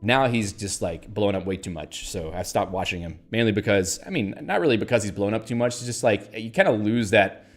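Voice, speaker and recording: A man's voice: fairly low pitch, expressive, fairly quick, few pauses. A man hosting a casual livestream, chatting in a rambling way with fillers and restarts and reading out viewer comments. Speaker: livestream host.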